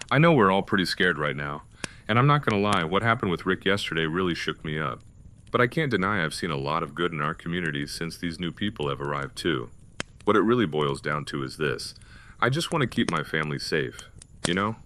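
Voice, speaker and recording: A man's voice: low-pitched, expressive, brisk, pushed and bright, slight background noise. A man playing a frightened, earnest townsman protective of his family, hesitant and plain-spoken, in an audio drama. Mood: earnest, shaken.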